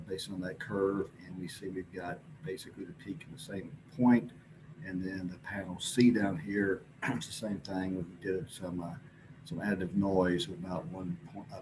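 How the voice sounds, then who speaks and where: A man presenting scientific slides informally, explaining in a halting, hesitant way with pauses. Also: sits low. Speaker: presenter at an astronomy symposium. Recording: clearly noisy recording with background ambience.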